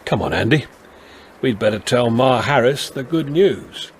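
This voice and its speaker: Avuncular older constable for radio drama, expressive intonation, plain-spoken, quietly authoritative. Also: male voice, mid-range voice, a little slow, background noise. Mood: kindly firm. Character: avuncular, firm but kindly London beat policeman. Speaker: police constable and narrator. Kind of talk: naturalistic radio drama acting and storytelling.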